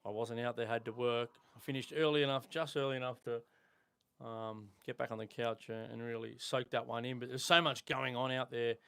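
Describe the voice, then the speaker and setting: An Australian man hosting a live sports podcast, chatting in a relaxed way with frequent ums and restarts. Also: medium pitch, brisk, gravelly, clear, resonant tone. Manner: relaxed, conversational with fillers. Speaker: podcast host. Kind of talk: casual sports chat.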